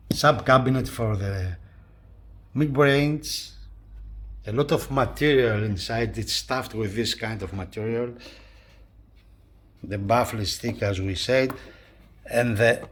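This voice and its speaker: A man presenting a hi-fi review in a casual hands-on style, talking haltingly and repeating phrases as he points things out. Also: mid-range voice. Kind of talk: casual hands-on video commentary.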